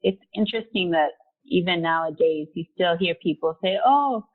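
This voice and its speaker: A woman's voice: on the low side, moderate pace. Tinny-sounding woman on a video call. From Mexico. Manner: candid, conversational, with fillers. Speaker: guest, company vice president. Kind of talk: casual interview over video call.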